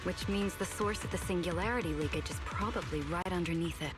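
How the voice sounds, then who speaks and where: A woman's voice: lowish voice, lightly acted. An actress voicing a composed female leader directing a team in a game dub. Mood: calm. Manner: calm, measured, in charge. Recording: game audio over loud music.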